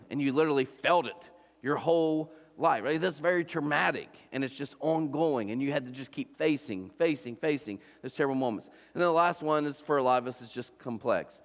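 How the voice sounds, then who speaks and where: A man in his forties from Ohio, preaching a sermon in a loose, conversational way, with restarts and frequent "right?" asides to the congregation. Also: medium pitch. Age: 40s. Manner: conversational, repeats and restarts, asks the crowd "right?". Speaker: pastor. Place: church auditorium. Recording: long reverb of a large church hall.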